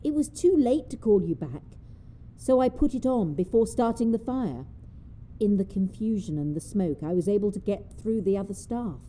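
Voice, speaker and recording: A woman's voice: medium pitch, expressive, moderate pace, mellow, a little hiss or hum, natural voice. An actress playing a feisty, mischievous old woman in an audio drama, her voice muffled. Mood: brisk, determined. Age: older.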